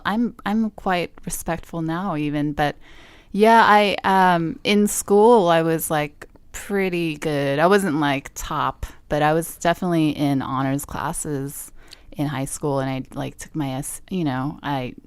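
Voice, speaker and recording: A woman's voice: low-pitched, takes it slowly, clear, resonant tone, no echo. A young woman from Southern California, chatting casually in an interview, with lots of likes and ums. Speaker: guest musician.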